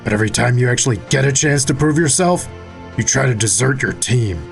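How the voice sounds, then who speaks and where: An actor playing a blunt, tough second-in-command soldier in an audio drama, stern and challenging, with a gravelly voice. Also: male voice, medium pitch, expressive, fairly quick, faint music behind. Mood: scornful, stern.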